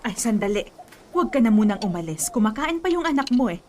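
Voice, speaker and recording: A woman's voice: on the high side, sing-song, fast, background noise. A woman acting an insistent, superstitious elderly grandmother in a radio drama, with a Filipino accent and a scolding, fretful manner.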